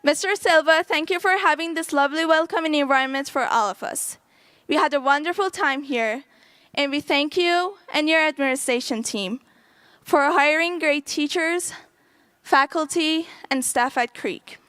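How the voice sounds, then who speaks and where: Bright high-voiced young woman, earnest speech. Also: normal speed, no room sound, studio quality. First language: Farsi. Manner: earnest, reading a prepared speech. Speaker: graduating student speaker. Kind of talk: prepared graduation speech.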